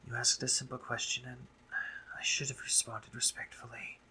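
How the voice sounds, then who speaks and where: A man playing a brooding, sardonic drider monster, a guarded but caring doctor, in an intimate roleplay audio drama, speaking formally with halting pauses. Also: medium pitch, monotone, pushed and bright, in a whisper, rough recording. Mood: subdued, sorrowful.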